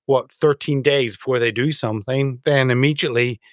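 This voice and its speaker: A man hosting a political chat show, talking in a halting, sarcastic way with many fillers. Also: medium pitch, steady tone, dry recording, studio quality. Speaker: show host.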